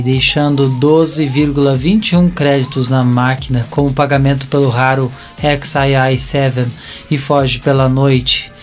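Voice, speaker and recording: A man with a Brazilian accent reading scripted narration for an audio drama. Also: medium pitch. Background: music.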